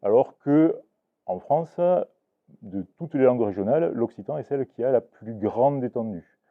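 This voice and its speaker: A man's voice: medium pitch, normal speed, narrow, tinny audio. A man teaching about a regional language to a live audience, talking with many restarts and hesitations. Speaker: Occitan teacher giving a talk. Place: book fair talk.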